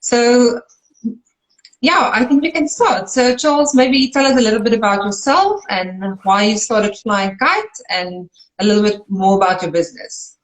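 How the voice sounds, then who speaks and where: A woman's voice: medium pitch, moderate pace. Woman host on an echoey video call. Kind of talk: casual interview chat. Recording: echoey room.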